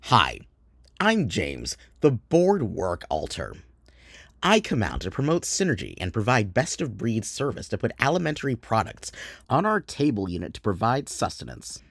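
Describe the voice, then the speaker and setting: A man plays a drab, earnest corporate employee in sketch comedy. The voice is low and subdued, slightly husky, and delivers business-speak with bland sincerity. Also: wildly swooping pitch, normal speed, a bit bright, dry recording, exaggerated voice. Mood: blandly earnest. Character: earnest, drab office worker full of corporate jargon.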